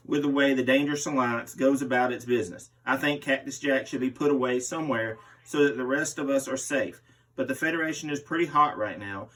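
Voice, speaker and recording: A man's voice: mid-range voice, a bit bright. Distant-mic man reading an interview aloud. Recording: distant microphone.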